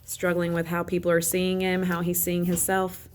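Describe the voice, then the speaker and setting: A woman's voice: on the low side, monotone, normal speed, slight background noise. A woman teacher reading a children's novel aloud as a narrator. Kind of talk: storybook read-aloud narration.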